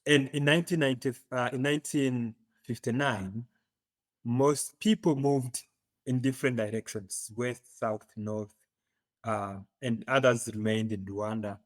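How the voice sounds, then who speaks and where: A man from Uganda with an East African accent, telling his story as an interview guest, often pausing to search for words. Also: mid-range voice, steady tone, no echo. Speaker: podcast guest.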